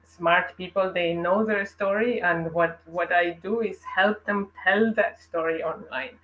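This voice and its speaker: A woman's voice: fairly low pitch, fairly even intonation, unhurried, a bit bright, poor audio. A woman from Spain with a Spanish accent, chatting as an interview guest about her work, talking freely with many restarts and fillers. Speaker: guest, learning developer. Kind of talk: casual interview chat.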